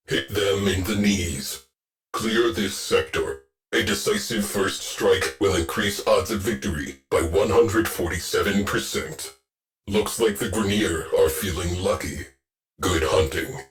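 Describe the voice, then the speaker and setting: A man voicing a terse, stoic military ship AI in a video game, with a clipped, deadpan tactical delivery and a dry protective streak. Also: deep voice. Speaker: ship tactical AI announcing combat. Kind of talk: scripted video game voice lines.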